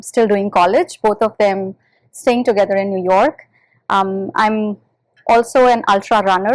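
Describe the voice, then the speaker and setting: A woman's voice: medium pitch, no room sound, low-quality recording. Woman from India, hesitant and filler-heavy. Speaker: guest. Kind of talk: podcast interview self-introduction.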